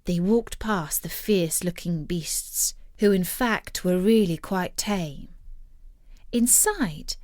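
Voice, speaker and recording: A woman narrating a scripted story in an expressive, measured storytelling manner. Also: medium pitch, no echo, studio quality.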